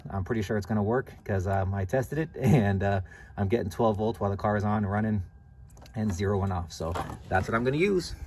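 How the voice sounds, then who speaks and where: Low-voiced man explaining a car install. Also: a little hiss or hum. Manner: plainspoken, repeats "okay?" to check in. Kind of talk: casual how-to walkthrough. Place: inside and around a parked car.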